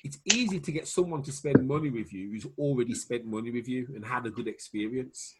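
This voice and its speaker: A man's voice: mid-range voice, fairly quick, a little mellow, husky. A man with a Welsh accent talking business in a casual video call, chatty, laughing often and dropping punchy asides. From Wales. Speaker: marketing consultant.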